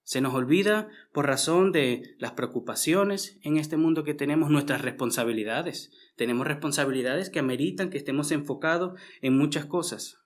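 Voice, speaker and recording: A man's voice: fairly high pitch, moderate pace, hardly any pauses. Venezuelan man preaching a sermon. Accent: Venezuelan Spanish. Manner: conversational, frequent tag questions and restarts.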